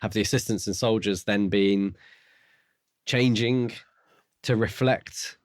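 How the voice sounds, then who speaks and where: A man's voice: on the low side, fairly even intonation, slow pace, no room sound, clean studio sound. A man co-hosting a podcast in casual book discussion, tentatively thinking aloud. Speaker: podcast co-host.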